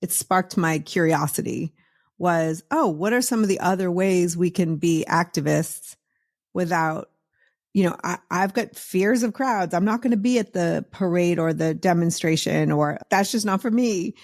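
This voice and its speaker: Slightly low-voiced woman hosting an interview. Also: moderate pace, darkish tone. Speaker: interviewer, show host. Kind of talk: conversational interview.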